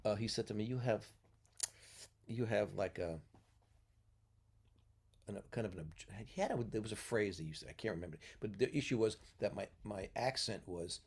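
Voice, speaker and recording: A man from New York with a low voice holds forth in a relaxed podcast conversation. He explains at length like a teacher and keeps tagging his points with "okay?". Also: normal speed, long pauses, husky. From New York, US Northeast. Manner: lecturing, tags points with "okay?". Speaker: podcast guest, mentor. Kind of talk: casual chat.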